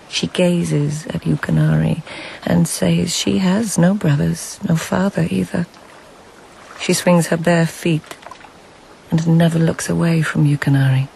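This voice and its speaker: An actress playing an observant court lady in a radio drama, speaking in measured, short literary phrases. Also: low-pitched, creaky, dark tone, slight background noise, full character voice, low-quality recording. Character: reflective, observant court lady narrating her search. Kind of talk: radio drama narration and dialogue.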